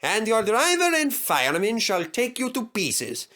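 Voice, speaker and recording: A man voicing a grave, kindly railway manager in a storybook reading, formal and measured. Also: sits high, huge pitch swings, sharp tone, pitched above the performer's own voice, exaggerated voice.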